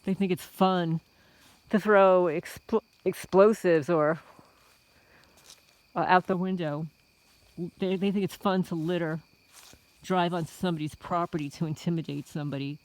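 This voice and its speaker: A woman's voice: lowish voice, steady tone, measured pace, pauses often, a little mellow. Middle aged lady from the bronx doing a casual vlog rant, indignant, talks right to her viewers. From the Bronx, New York. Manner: indignant, rhetorical, addresses listeners as folks. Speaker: homesteading vlogger.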